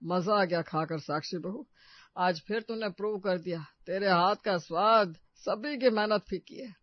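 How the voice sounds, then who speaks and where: An approving, food-loving father-in-law from an audio storybook, voiced by a woman. The voice is mid-pitched, with dry, slightly dull sound. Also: moderate pace, dry recording, lightly acted. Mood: delighted praise. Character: food-loving, approving male head of the household. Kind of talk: Hindi moral-story dramatization.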